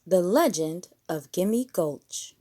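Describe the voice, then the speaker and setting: Animated woman reading a children's story. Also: fairly low pitch, takes it slowly. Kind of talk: expressive scripted storybook narration.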